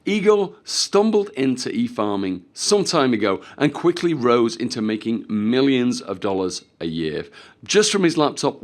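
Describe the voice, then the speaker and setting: A man hosting a live webinar, his voice a little croaky as he recovers from flu, talking to the audience in an upbeat, salesy way. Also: mid-range voice. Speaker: webinar host.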